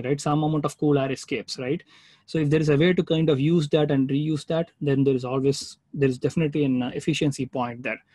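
Man teaching, slightly high husky voice. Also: a little mellow, no echo. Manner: explanatory, often checking with "right?" and "okay?". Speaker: webinar instructor. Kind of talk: informal online technical lecture with slides.

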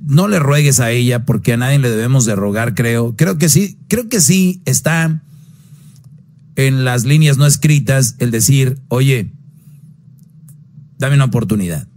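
A man's voice: a little slow, a little mellow. A man hosting a call-in advice show on the radio, blunt and preachy, pushing his voice up to stress a point. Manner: blunt, preachy, swings pitch up for emphasis.

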